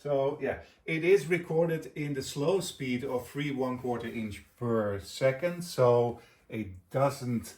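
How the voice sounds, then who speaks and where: Man, middle-aged, medium pitch, casual review chat, hesitant, stop-start phrasing. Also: slow pace, darkish tone. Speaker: video host.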